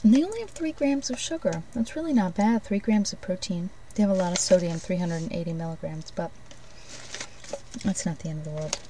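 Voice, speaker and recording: A woman doing an ASMR role play as a shopkeeper, speaking softly and gently, often breathy and near a whisper, in unhurried short phrases. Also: fairly low pitch, recorded from a distance, background noise. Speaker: ASMR host playing a shopkeeper giving card readings.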